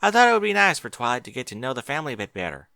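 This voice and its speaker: A man's voice: medium pitch, very animated, racing, gravelly, sharp tone, dry recording, clean studio sound, pitched above the performer's own voice, exaggerated voice. A man voicing a friendly grown farm mare, a big sister who teases.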